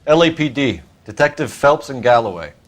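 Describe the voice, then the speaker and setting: An actor playing a stern young American police detective in a video game, questioning in a clipped, formal, accusatory way. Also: male voice, medium pitch, expressive, fairly quick, lightly acted. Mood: brisk questioning. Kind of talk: acted dramatic video game dialogue.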